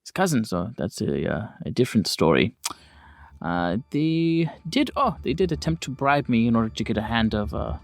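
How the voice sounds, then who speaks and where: A man playing a courteous, frank 1920s doctor who talks in a hesitant, measured, polite way. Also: mid-range voice, very animated, gravelly, no room sound. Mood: candid, measured.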